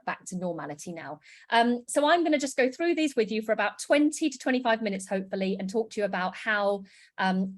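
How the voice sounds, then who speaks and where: An enthusiastic woman from the UK with a British accent gives a sales presentation over a video call. She sounds upbeat and gushing, with her voice sitting at an everyday middle pitch for a woman. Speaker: business presenter.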